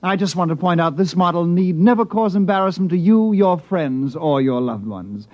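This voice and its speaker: A smooth-talking, eager salesman in an old radio play. His high voice rises and dips freely through fussy, courteous patter. The sound is dry and dull, like a vintage broadcast. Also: animated, normal speed, no echo. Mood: polite, pitching. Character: eager, smooth-talking male appliance salesman, politely unflappable. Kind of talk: mid-century American radio drama acting.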